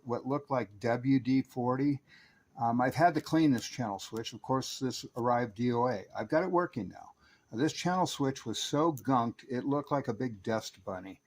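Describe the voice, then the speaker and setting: A man's voice: medium pitch, darkish tone. A man giving an informal narrated repair demonstration in a conversational, matter-of-fact and opinionated way. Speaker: radio repair hobbyist, video host.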